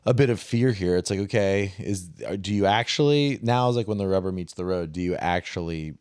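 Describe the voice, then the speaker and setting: Low-voiced man rambling in casual chat. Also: fairly quick, a little mellow, clear, resonant tone, no echo, clean studio sound. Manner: rambling, with many "like"s and trailing restarts. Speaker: podcast co-host. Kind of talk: casual chat about Bible stories.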